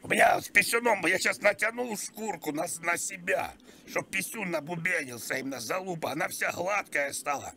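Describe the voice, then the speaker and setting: A man's voice: sits high, normal speed, creaky, few pauses, sharp tone, a little hiss or hum, full character voice. A man voicing a crude, whiny old pensioner on a prank call, pestering and rambling in a strained, plaintive voice. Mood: insistent. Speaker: prank caller. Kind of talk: prank phone call improvisation.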